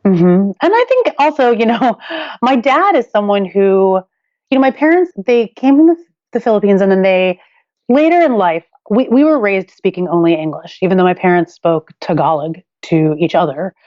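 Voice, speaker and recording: A woman's voice: medium pitch, expressive, moderate pace, darkish tone, no room sound, dull audio. A woman in her forties, a guest author, telling personal stories candidly and thinking aloud, with frequent restarts and light laughter. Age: 40s. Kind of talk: reflective personal storytelling.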